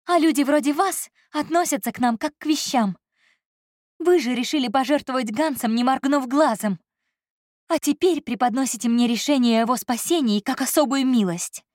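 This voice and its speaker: A woman's voice: high-pitched, normal speed, darkish tone, no echo, clean studio sound, voice pushed up, lightly acted. A woman voicing a proud, stubborn young woman who answers her employer with defiance and cutting emotion. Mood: indignant.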